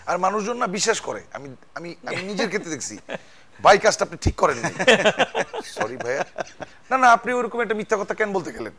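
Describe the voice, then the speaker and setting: Higher-voiced Bangladeshi man telling anecdotes. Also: very animated, a little fast, a crowd in the background. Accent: colloquial Bangladeshi. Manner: acts out quoted dialogue. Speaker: actor guest.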